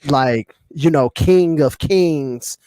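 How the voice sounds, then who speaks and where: Higher-pitched American man, slangy podcast talk. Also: extremely slow, warm tone, no room sound. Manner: slangy, emphatic, repeats phrases. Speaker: podcast co-host. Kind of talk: casual hip-hop podcast chat.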